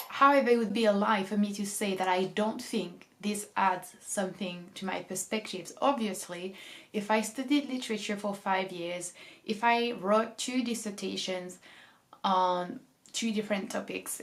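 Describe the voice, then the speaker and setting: Young woman, thoughtful and hedging. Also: mid-range voice. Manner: reflective, hedges often with "I feel like" and "um". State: coming down with a cold. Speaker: book vlogger. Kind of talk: casual talking-to-camera opinion video.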